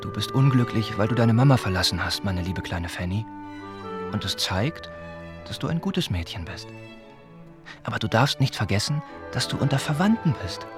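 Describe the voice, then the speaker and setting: An actor playing a kind young older cousin in a radio play, speaking gently and patiently to reassure a child. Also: male voice, on the low side, expressive, normal speed, music in the background, close to an everyday voice. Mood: gentle, reassuring.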